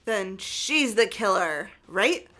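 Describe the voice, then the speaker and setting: A woman voicing an excitable teenage girl in a visual novel game, loud, insistent and emphatic. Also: mid-range voice, slow pace, faint music behind. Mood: excited, insistent. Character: excitable, pushy teenage girl jumping to conclusions. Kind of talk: scripted visual novel voice acting.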